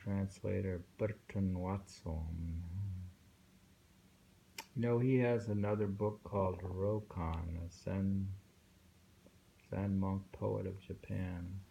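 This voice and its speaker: A man reading poetry aloud informally and commenting on it in a halting, musing way, pausing often. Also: low voice, steady tone, vocal fry, mellow, muffled recording. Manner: halting, musing, with frequent pauses. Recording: faint background noise, home recording.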